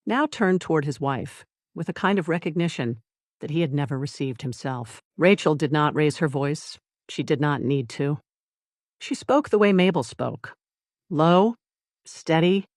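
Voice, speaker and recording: A narrator reading a scripted dramatic story in deliberate short phrases with dramatic pauses. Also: mid-range voice, no room sound, studio quality. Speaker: audiobook narrator.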